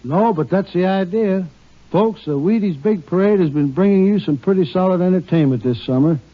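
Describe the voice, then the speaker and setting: An American man reading a scripted 1950s radio sponsor pitch as an earnest, folksy appeal. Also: moderate pace. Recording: muffled vintage radio recording.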